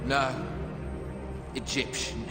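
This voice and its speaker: Male actor's megalomaniac Roman villain, grandiose, taunting, long hall reverb, loud music, video game cutscene. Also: mid-range voice, fairly even intonation, slow, close to an everyday voice. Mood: triumphant boasting. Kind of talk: theatrical video game acting.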